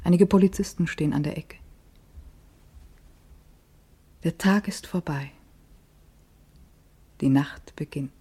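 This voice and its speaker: A low, dark and breathy young society woman in a radio drama. She sounds poised, sensual and vain, delivering her monologue with intimate, deliberate care. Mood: wondering. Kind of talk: literary radio-play monologue.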